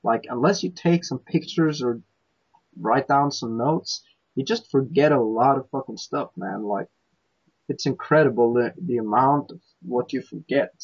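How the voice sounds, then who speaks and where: A man whose first language is Norwegian, hosting a podcast in casual, profane, slangy chat with halting restarts. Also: mid-range voice, husky, muffled recording. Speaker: podcast host.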